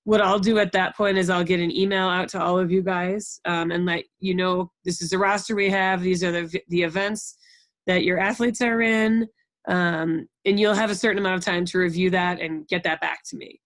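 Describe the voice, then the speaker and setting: A woman's voice: lowish voice, steady tone, moderate pace, no room sound. An American woman leading a conference call as a program coordinator, giving an informal, encouraging briefing and often checking in with the group. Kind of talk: informal instructional briefing to coaches.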